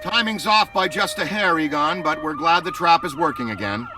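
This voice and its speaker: A man's voice: on the high side, normal speed, distant mic, natural voice. A man playing an eager, folksy, encouraging ghost catcher in a video game. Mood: reassuring. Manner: folksy, encouraging, colourful phrasing. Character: eager, boyish, chummy male ghost catcher, excitable technical enthusiast. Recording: off-mic, loud music over voice.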